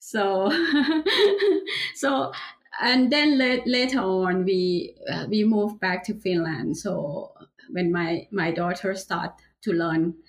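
A woman with a Thai accent speaks slowly in a podcast interview. She pauses often and fills the gaps with short fillers as she gathers her thoughts. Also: mid-range voice. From Thailand. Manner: hesitant, many fillers, chuckles lightly. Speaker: heritage language teacher. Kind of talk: interview answer.

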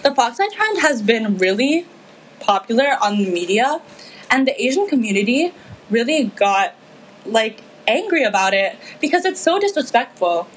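A woman's voice: a little high, very animated, moderate pace, a little hiss or hum, poor audio. A teenage girl from the Philippines talking earnestly and with feeling in a casual discussion, with frequent fillers. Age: teens. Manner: earnest, impassioned, many fillers.

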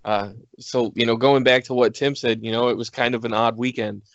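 A man with an American accent talking in a casual, measured way as a panelist on a podcast. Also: mid-range voice, level tone, no room sound, muffled recording. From United States.

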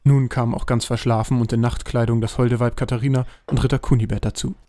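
A man's voice: mid-range voice, little pitch movement, fast, mellow, faint music behind. A man narrating a scripted comic fantasy audio drama as its storyteller.

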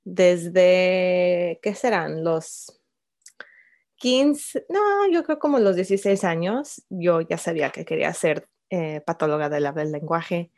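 Slow-speaking woman, lowish voice. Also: darkish tone. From Chicago, United States. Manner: unhurried, many fillers, light laughs. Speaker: bilingual speech-language therapist, guest. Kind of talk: relaxed interview answers.

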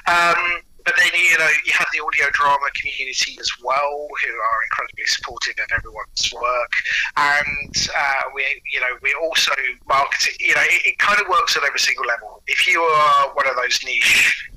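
Very bright, raspy-edged man chatting. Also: on the high side, normal speed, low-quality recording. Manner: enthusiastic, anecdotal. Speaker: audio producer and actor, interview guest. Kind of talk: casual interview chat.

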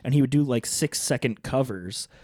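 A man's voice: medium pitch, moderate pace, dark tone, no room sound, studio quality. A young man chatting casually as a podcast host, rambling with restarts and laughing often. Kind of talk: casual chat.

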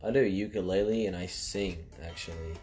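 A young man with a stuffy nose talks in a very deep, offhand way. He is chatting casually with friends, and guitar playing sounds loudly close by. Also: moderate pace. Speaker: guest. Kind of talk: casual chat among friends.

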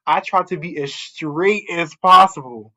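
A man's voice: on the high side, a little slow, pushed and bright, dull audio. A young man telling a personal story in a casual, chatty way, often tagging his sentences with "like" and "right?". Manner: chatty, heavy use of "like" and "right?". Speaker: panel speaker.

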